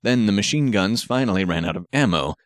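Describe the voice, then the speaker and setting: A man's voice: lowish voice, lively intonation, brisk, dry recording, clean studio sound. A man narrating an action story as a scripted audiobook reading.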